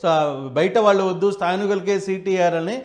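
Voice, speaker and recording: A TV news anchor with an Andhra Telugu accent, moderating a live debate and cutting in briskly to put his questions. His voice is fairly high for a man, and he speaks at a quick pace. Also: flat delivery, darkish tone. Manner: brisk, cuts in to steer the debate.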